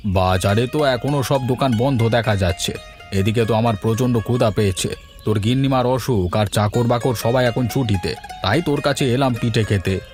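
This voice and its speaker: A man's voice: mid-range voice, normal speed, a little mellow, natural voice. A man voicing an easygoing village character in a cartoon fairy tale, talking in a colloquial, chatty way. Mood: friendly, hungry. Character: village men: elder headman, easygoing bachelor neighbour. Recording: music under the voice.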